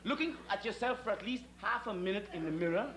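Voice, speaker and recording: A high-pitched middle-aged Trinidadian male servant in a stage comedy, sly and wordy beneath his deference. He speaks with elaborate mock solemnity. The sound is a roomy, noisy old tape of a theatre performance. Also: animated, fast talker. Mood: mock-solemn, indignant. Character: deferential yet sly, verbose retired calypsonian hotel servant. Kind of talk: live stage comedy acting. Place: theatre stage before an audience. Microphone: distant camera microphone.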